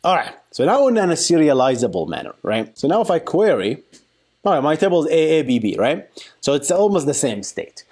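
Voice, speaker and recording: A man giving an informal software tutorial, talking through a live demo in a playful, colloquial way and thinking aloud. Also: a little high, big pitch swings, fairly quick, husky. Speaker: software engineering instructor.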